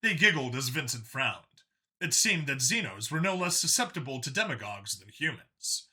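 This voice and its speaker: A man's voice: mid-range voice, big pitch swings, moderate pace, pushed and bright. A man narrating a science fiction action story from a script.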